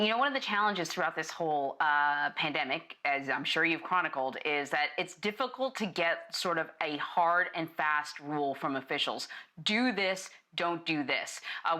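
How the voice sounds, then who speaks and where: Low, bright-voiced woman discussing news. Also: lively intonation, moderate pace.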